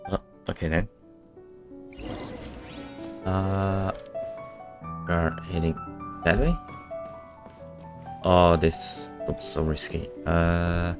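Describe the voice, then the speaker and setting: A man with a very low voice gives unhurried, laconic reactions while streaming a game. His casual muttering sits over clear game music. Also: flat delivery. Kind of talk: casual live reaction commentary. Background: game music and sound.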